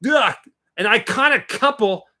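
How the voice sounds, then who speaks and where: A man's voice: extremely high pitch, animated, unhurried, sharp tone. A man in his twenties co-hosting a casual live stream, joking and bantering. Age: 20s. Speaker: co-host.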